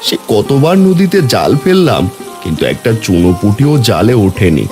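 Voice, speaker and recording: A man playing a poor, luckless fisherman husband in a cartoon, weary, gentle and apologetic. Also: fairly low pitch, wildly swooping pitch, moderate pace, over music.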